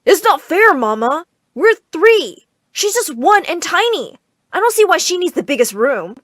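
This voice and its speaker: A woman's voice: very high voice, big pitch swings, unhurried, a bit bright, no room sound, clean studio sound, voice pushed up, full character voice. A woman voicing an exasperated boy, a brother who whines and complains in a narrated story. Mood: aggrieved. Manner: whining, complaining. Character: protesting, exasperated boys, the aggrieved brothers.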